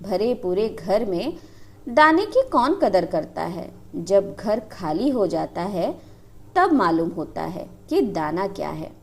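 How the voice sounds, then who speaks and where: A woman's voice: mid-range voice, very animated, takes it slowly. A woman voicing a devoted young village wife who speaks with passion and pleading. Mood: scornful. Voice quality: impassioned, lamenting.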